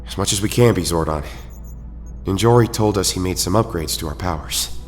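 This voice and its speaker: A man's voice: deep voice, brisk, close to an everyday voice. A man playing an earnest young superhero team leader in an audio drama, determined and rallying. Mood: resolute. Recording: clear music behind the voice.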